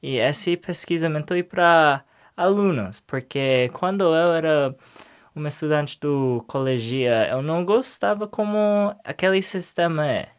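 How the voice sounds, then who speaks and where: Young man, halting speech. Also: on the high side, clean tone. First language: English. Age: young adult. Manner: hesitant, searching for words in a second language. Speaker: interview guest. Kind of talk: casual interview answers.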